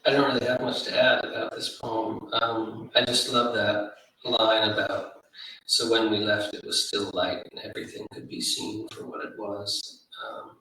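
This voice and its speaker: A man talking without a script as an editor on a literary panel, tentative and halting in his delivery. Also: fairly low pitch, flat delivery, pushed and bright. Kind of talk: unscripted literary discussion. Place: indoor library room at a live event. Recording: very echoey room, far from mic.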